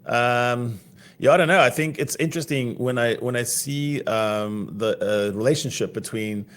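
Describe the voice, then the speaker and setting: A man's voice: mid-range voice, moderate pace, fairly bright tone. A man from South Africa co-hosting a rugby podcast in casual chat, teasing and laughing often. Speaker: podcast co-host.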